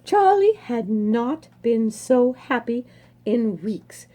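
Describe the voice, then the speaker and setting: A woman storyteller with a high, mellow voice reads a children's book aloud. She takes her time, savoring the words and pausing for dramatic effect. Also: very animated.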